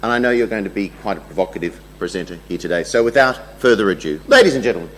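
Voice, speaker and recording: A man's voice: lowish voice, lively intonation, quick pace, a little hiss or hum. A man acting as master of ceremonies, introducing the keynote speaker with wry jokes. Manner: joking, wry.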